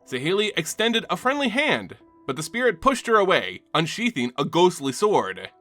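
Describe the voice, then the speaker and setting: A man giving dramatic scripted narration and commentary as the host of a fantasy lore channel, with an expressive storyteller delivery. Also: high-pitched, normal speed, faint music behind.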